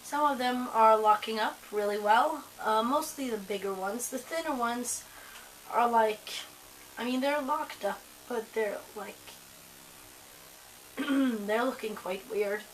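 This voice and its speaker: A young woman with a hoarse voice from a cold, chatting casually to camera at home, rambling with lots of ums and likes. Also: on the high side, slow, a little hiss or hum, poor audio. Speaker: vlogger.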